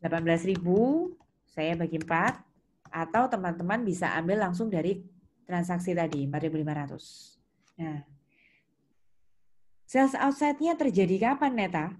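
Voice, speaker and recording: A woman's voice: fairly low pitch, expressive, normal speed, pauses often, darkish tone. A woman giving an interactive lecture as a teacher, instructing step by step and checking understanding with questions. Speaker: accounting teacher. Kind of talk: interactive lecture explaining worksheet entries. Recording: clear background noise, keyboard typing and clicks.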